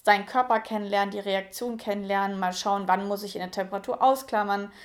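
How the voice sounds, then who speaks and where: A young woman in her late twenties talks straight to her viewers in a relaxed, confiding way. Her voice sits at an ordinary middle pitch. She speaks frankly and loosely, with plenty of fillers and small restarts. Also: fast. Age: 20s. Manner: chatty, frank, many fillers. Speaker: YouTuber. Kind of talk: casual personal vlog.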